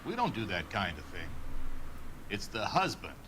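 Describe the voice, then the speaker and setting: Cocky, sharp-voiced mobster. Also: male voice, fairly low pitch, wildly swooping pitch, moderate pace, a little hiss or hum, heavily acted. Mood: cool, cocky. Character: cocky, slick mobster henchman. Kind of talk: dramatic video game acting.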